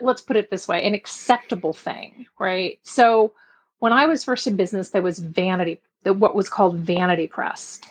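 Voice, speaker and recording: A middle-aged woman from Belgium chatting casually as an interview guest, her voice slightly husky. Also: mid-range voice. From grew up in Belgium. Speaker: podcast guest, publishing expert.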